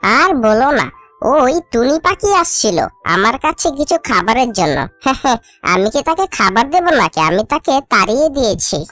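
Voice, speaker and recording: A selfish crow wife from a children's cartoon, acted by a woman. Her voice is high, whiny and insistent. Light music runs underneath. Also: sing-song, unhurried, fairly bright tone, no echo. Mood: scornful.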